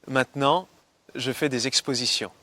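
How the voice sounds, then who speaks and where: An actor playing a young artist in a scripted textbook dialogue, relaxed and knowledgeable. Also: male voice, medium pitch, normal speed, lightly acted. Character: Greg, a young male artist. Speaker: artist tenant character.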